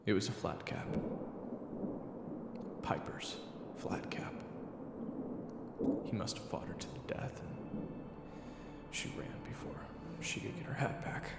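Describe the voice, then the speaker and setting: A man's voice: fairly low pitch, big pitch swings, takes it slowly, gravelly, pauses often, very noisy. A man narrating a scripted second-person story, in a reverberant recording. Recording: reverberant, echoey, music underneath. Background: background music.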